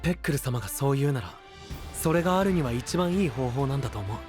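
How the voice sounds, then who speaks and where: A man's voice: medium pitch, animated, quick pace, over music, lightly acted. A man playing a composed, supportive young knight in a voice drama, speaking with a reassuring manner. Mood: calm, reassuring. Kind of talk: anime-style voice drama acting.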